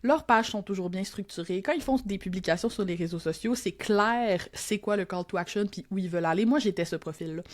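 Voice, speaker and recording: A woman's voice: medium pitch, fairly quick, hardly any pauses. A young woman with a Québécois French accent, a podcast guest and copywriting teacher, talking casually and reflectively with many fillers and restarts. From Quebec. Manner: reflective, self-deprecating, frequent fillers and restarts. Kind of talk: casual conversational interview.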